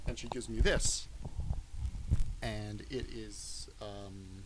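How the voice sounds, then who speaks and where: A man's voice: on the low side, steady tone, drawn-out words, very noisy. Young man telling a rambling story. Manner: wandering storyteller, restarts and ums. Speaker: podcast co-host. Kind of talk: casual storytelling.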